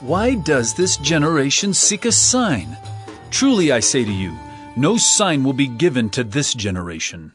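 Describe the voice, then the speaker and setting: A man's voice: medium pitch, sing-song, measured pace, soft background music. An actor playing an earnest male religious teacher in a dramatized scripture reading, with emphatic delivery. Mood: stern.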